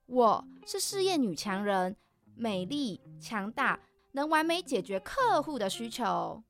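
Woman acting a high-voiced, dramatic career woman. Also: very animated, unhurried, soft background music. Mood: proud. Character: capable career woman, secretly anxious about never having dated. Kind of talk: podcast radio drama acting.

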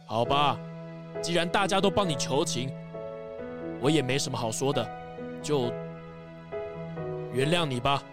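A man's voice: mid-range voice, unhurried, pauses often, lightly acted. An actor playing a stern tycoon father in a children's audio drama. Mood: relenting. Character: stern, indignant father, a tycoon who softens. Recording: loud music behind.

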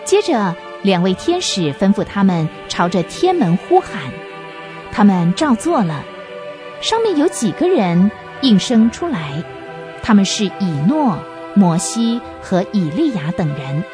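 抑扬顿挫的女性广播剧旁白. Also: female voice, mid-range voice, very animated, unhurried, over music. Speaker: narrator. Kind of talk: scripted radio drama narration, storytelling.

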